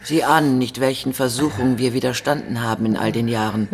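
A woman's voice: rumbling low, fairly even intonation, a little fast. An actress playing a stern, principled older woman who leads a dissident group in a radio drama, firm and reproving. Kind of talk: radio crime drama acting.

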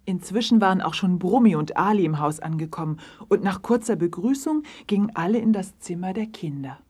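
Female narrator for children's story. Strongly husky and breathy, slightly low, dramatic and suspenseful. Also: expressive, a little fast, a little mellow.

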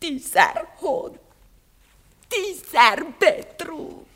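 An actress in a classic German radio play, playing a spurned, passionate and jealous mistress with a volatile, imperious manner. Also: female voice, high-pitched, drawn-out words, heavily acted. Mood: hurt, defiant. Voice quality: expressive, swooping, theatrical. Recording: radio play with long reverb.

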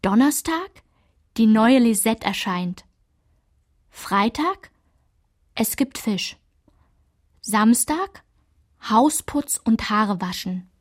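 An actress narrating rules and village routines in a radio play, in a clipped, matter-of-fact literary recitation. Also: female voice, a little high, animated, drawn-out words, long pauses, heavily acted.

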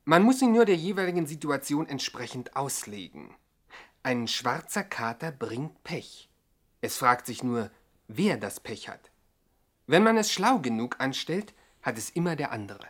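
An actor as a pedantic co-narrator, adding details in deadpan, mock-serious style in a 1960s radio play. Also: male voice, mid-range voice, a little slow. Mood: dryly pedantic. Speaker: second narrator.

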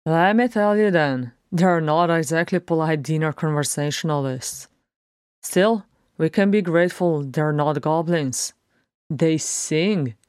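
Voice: medium pitch, unhurried, clear, resonant tone, dry recording, clean studio sound, natural voice. Narrator doing a cocky wry dwarf guy from a fantasy audiobook, breezy and sardonic, a bit raised and punchy. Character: irreverent, wry male dwarf warrior, loud and cocky.

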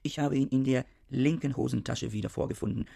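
An actor playing a pedantic, irritable scholar of about forty in a radio play, speaking in a formal, stilted, indignant manner. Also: male voice, medium pitch, a little fast, creaky, dark tone. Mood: stiff, formal. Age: 40s. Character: pedantic, irritable forty-year-old male scholar, cornered husband. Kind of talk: German radio play acting.

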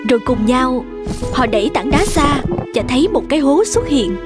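A woman's voice: high voice, sing-song, normal speed, over loud music. A woman storyteller reading a scripted fairy tale for children.

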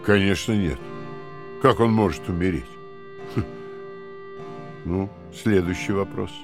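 An actor playing a calm, condescending party interrogator who lectures in a measured, fanatical way in an audio drama. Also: male voice, deep voice, over music. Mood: cold, patronizing. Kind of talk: dramatic audio play acting.

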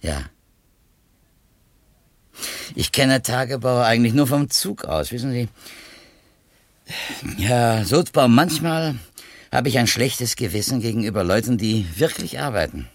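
Radio drama, male: a curious, uneasy intellectual editor, reflective phrasing, pitch swinging widely. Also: animated, normal speed. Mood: self-conscious, musing. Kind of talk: 1970s East German radio play acting.

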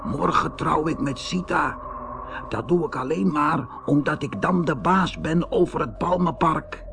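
A man's voice: a little high, measured pace, vocal fry, over music, pitched above the performer's own voice. A man voicing a scheming, bossy storybook villain with a conspiratorial, commanding manner. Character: scheming villain, sly would-be usurper of a jungle kingdom.

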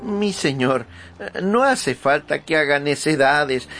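An actor playing a humble, practical squire with a deferential, hesitant way of talking in a radio drama. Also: male voice, a little high, animated, slow. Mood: placating. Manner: deferential, stammering, plain-spoken. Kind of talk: Spanish-language radio drama acting. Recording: studio radio drama with background music.

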